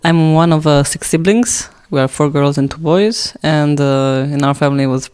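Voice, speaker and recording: A woman's voice: sits low, moderate pace, a little mellow, clean tone. A young woman from Romania, with a Romanian accent and Romanian as her first language, answering interview questions in a hesitant way with many fillers and restarts. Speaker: guest.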